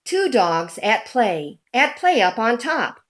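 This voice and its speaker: A woman reading a children's picture book aloud in a deliberate, animated storytelling manner. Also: on the high side.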